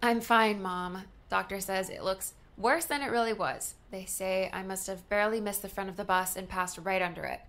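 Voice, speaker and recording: Woman playing sarcastic young daughter, dry n wry, normal pitch, audiobook drama. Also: fairly even intonation, moderate pace, few pauses, a bit bright, close to an everyday voice. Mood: reassuring.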